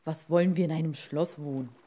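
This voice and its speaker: A woman's voice: sits low, fairly even intonation, brisk, mellow. A woman voicing a meek, troubled fisherman husband in a storybook tale, reluctant and downcast. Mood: reluctant, protesting.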